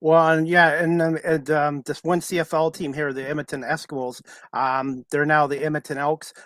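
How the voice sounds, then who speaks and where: Higher-voiced man in his forties, dry sound. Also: flat delivery, normal speed, a bit bright, dry recording. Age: 40s. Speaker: talk show host. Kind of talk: casual sports chat.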